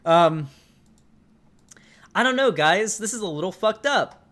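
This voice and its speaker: A man's voice: sits high, measured pace. Young man swearing through stream commentary. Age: 20s. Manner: swearing, animated and exasperated, with laughs. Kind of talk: casual livestream commentary.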